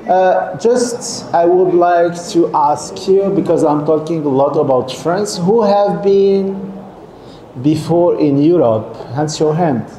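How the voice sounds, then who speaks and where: A man's voice: a little high, slow, mellow. A middle-aged man, giving a seminar lecture to students as a guest professor, often stopping to check in with the audience and restarting phrases. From Algeria. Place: lecture hall. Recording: echoey lecture hall with faint noise.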